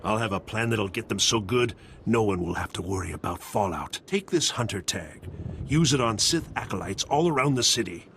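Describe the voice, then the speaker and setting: Low-voiced, shady bounty hunter. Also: male voice, lively intonation, moderate pace, fairly bright tone, a little hiss or hum. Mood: uneasy bargaining. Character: shady, gruff male alien bounty hunter. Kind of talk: dramatic video game acting.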